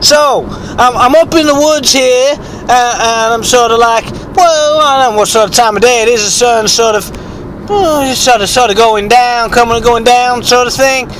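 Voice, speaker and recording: A man voicing an eccentric, foul-mouthed rustic bigfoot hunter, husky with a sore throat, telling a halting, rambling, repetitive mock-documentary tale. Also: extremely high pitch, fairly bright tone, slight background noise, low-quality recording. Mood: rambling, scornful.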